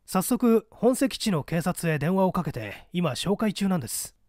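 A man voicing a calm, shrewd police detective who reports methodically and politely in a dramatized audiobook. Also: sits high, moderate pace, no echo, clean studio sound. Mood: matter-of-fact.

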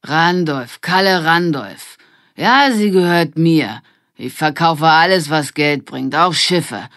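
A woman voicing a rude, drunken junkyard man in a children's audiobook, with a rough, threatening manner. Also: sits low, expressive, slow, fairly bright tone, no room sound, studio quality, heavily acted. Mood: gruff, boastful.

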